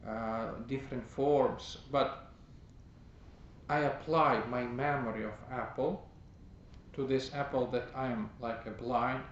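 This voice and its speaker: Priest, male, mid-pitched, unhurried halting sermon delivery with repeated words; echoey, muffled, noisy church recording. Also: fairly even intonation. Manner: slow, halting, with many pauses and fillers. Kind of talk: teaching sermon. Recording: roomy, dull, noisy recording.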